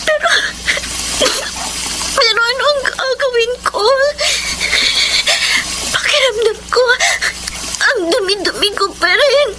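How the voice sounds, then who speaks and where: An actress playing a frightened, abused fifteen-year-old girl in a drama, anguished and halting. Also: extremely high pitch, animated, exaggerated voice, low-quality recording. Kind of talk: Filipino television-style drama acting. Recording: clear background noise.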